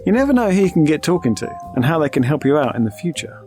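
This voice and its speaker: Man playing Apollo, a wry higher-voiced space adventurer. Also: big pitch swings, fast, a little mellow, soft background music. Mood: explaining. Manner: conversational, wry. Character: easygoing, shrewd, defensive male space adventurer, Apollo.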